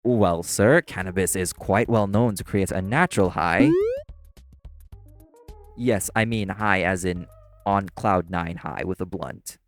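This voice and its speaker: A low-pitched man acting as a young waiter, prim and exasperated, in an audio roleplay. He speaks in formal, deadpan phrases with patient condescension and dry sarcasm. Music plays behind him. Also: a little slow, no room sound. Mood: stern, formal. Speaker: voice actor.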